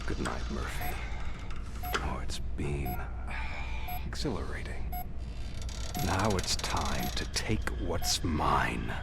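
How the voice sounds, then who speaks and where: An actor playing a smug, menacing crime boss in a video game, gloating and taunting theatrically. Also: male voice, very deep voice, lively intonation, takes it slowly, gravelly, long pauses, loud music behind the voice, exaggerated voice. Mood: quiet gloating. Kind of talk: video game cutscene acting.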